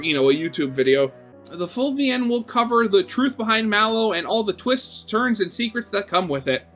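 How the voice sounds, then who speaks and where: Man, high pitch, bright forceful tone, gaming host, casual read-aloud with rambling asides, background music. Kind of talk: reading a visual novel aloud with casual commentary.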